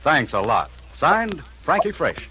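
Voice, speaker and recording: Male, 1940s radio ad testimonial reader; slightly high voice, animated inflection. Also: slow, breathy edge, poor audio.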